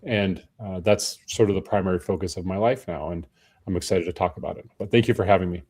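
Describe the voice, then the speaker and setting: A tired, hoarse man speaking as a panel guest, chatting in a friendly, self-deprecating way with frequent restarts. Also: sits low, fast, darkish tone. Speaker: panel guest, personal trainer. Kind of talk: casual panel conversation.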